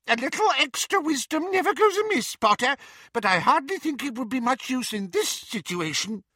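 A man voicing a squeaky, flustered little old male professor in an audiobook story. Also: big pitch swings, normal speed, bright, forceful tone, no echo, studio quality, full character voice. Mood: urgent, squeaky. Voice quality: squeaky, thin.

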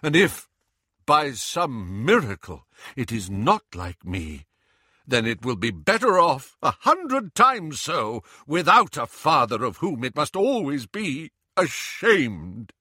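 A man's voice: medium pitch, big pitch swings, slow, bright, forceful tone, husky, no echo, clean studio sound, pitched above the performer's own voice, exaggerated voice. A man reading a storybook, voicing an anguished grown man who strains and pleads, then rises into anger.

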